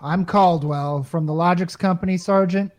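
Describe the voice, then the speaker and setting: A woman's voice: fairly low pitch, moderate pace, over music, natural voice. A woman playing an earnest, flustered male company technician in a radio comedy, hesitant and defensive. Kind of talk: old-time radio comedy acting.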